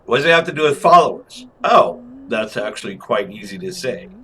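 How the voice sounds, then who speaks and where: A man's voice: mid-range voice, lively intonation, brisk, fairly bright tone, slight background noise. A man giving casual, jokey, rambling video game review commentary.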